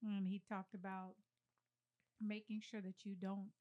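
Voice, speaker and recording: A woman's voice: mid-range voice, level tone, a little slow, dark tone, lo-fi sound. Affirming woman in casual chat. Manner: affirming, conversational, frequent agreement. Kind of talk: casual conversation.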